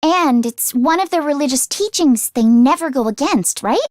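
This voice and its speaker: Anime game schoolgirl, earnest squeamish tinkerer type, really high and animated, close dry sound. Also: dry recording. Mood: earnest, explaining. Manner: expressive, earnest, quick to exclaim.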